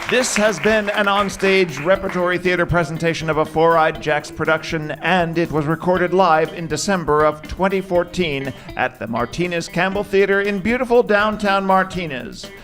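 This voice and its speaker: A male announcer reads scripted credits for a radio play. His voice is fairly high. Music plays clearly behind him along with a live theater crowd.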